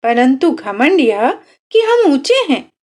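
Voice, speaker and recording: A woman's voice: high voice, sing-song, takes it slowly, darkish tone, full character voice. A woman voicing a poor, oppressed village woman who is defiant and bitterly indignant, in a storybook reading. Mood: bitter indignation. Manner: indignant rhetorical questioning.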